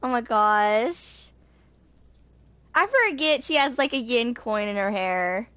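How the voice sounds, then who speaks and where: Girl in her 20s streaming games, pretty high voice, super dry sound, just rambling casually. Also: expressive, takes it slowly, bright, forceful tone, dry recording. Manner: rambling, informal. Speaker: video game streamer. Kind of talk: casual gaming chat.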